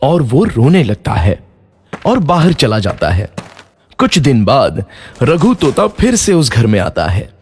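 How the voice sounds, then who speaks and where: A man's voice: mid-range voice, sing-song, unhurried, darkish tone, slight background noise. A man narrating a scripted children's story.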